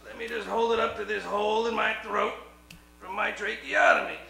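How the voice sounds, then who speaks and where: A man playing a nervous hospital patient with a hole in his throat, croaking haltingly in a spoof radio ad. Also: sits high, flat delivery, pushed and bright, full character voice. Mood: nervous chuckling. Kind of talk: spoof vintage radio ad acting. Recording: echoey room with audience noise.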